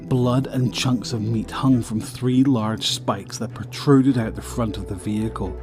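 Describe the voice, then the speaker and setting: A man's voice: medium pitch, fairly even intonation, unhurried, over music. A man narrating a scripted story as the narrator of an audio drama.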